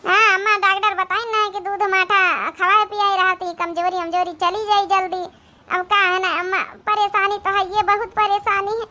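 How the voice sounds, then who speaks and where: A cartoon young wife voiced by a woman, plaintive and worried, with an Awadhi accent. Her voice is extremely high and sharp, and she laments like someone worn down by money troubles. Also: little pitch movement, moderate pace. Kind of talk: cartoon comedy voice acting.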